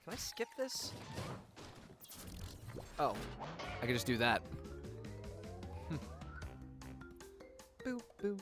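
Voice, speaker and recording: A man's voice: on the high side, big pitch swings, extremely slow, pauses often, music in the background. A man chatting casually to his viewers during a live gameplay stream, rambling and trailing off with many ums as he plays. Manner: rambling, lots of ums and restarts. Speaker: livestream gamer.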